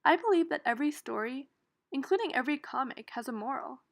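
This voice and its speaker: A woman's voice: high voice, expressive, fast talker, gravelly. A young American woman giving an earnest, prepared personal talk about her own story. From United States. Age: young adult. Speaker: student speaker.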